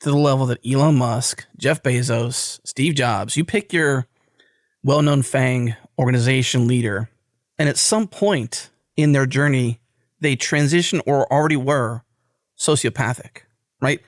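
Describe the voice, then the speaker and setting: A man's voice: mid-range voice, measured pace, no room sound, studio quality. An American man co-hosting a casual interview, reflecting aloud in piecemeal clauses. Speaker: podcast co-host.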